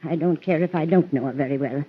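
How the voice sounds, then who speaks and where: An actress playing a fussy, scolding farm wife with a rural American accent and folksy exclamations, in old-time radio drama style. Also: female voice, low voice, fast talker, husky. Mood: determined. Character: fussy, nagging, indignant farm wife, busybody neighbor. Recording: dull, lo-fi vintage radio recording.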